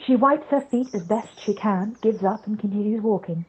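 A woman's voice: mid-range voice, moderate pace, slight background noise. A woman reading scripted narration for a radio play over a video call. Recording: dull video-call audio.

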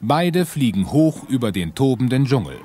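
A man narrating a sci-fi adventure radio play in an earnest, measured storytelling manner. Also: mid-range voice, sing-song, mellow, slightly rough. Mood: suspenseful.

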